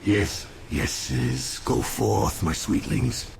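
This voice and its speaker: A man voicing a sinister undead insect lord who dotes on his locust swarm in a slow, menacing, gravelly croak, in fantasy game cutscene style. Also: on the low side, big pitch swings, slight background noise, heavily acted. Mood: gleeful.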